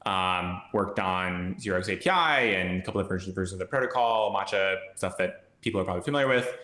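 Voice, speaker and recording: A man with a low voice and a bright, forceful edge, talking loosely and rambling, his sentences full of fillers and restarts. He sounds like a guest on a remote call, and his sound comes back off the walls of a roomy, echoey space. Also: fast talker. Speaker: podcast guest, crypto investor. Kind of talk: casual chat. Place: home room with brick wall and plant.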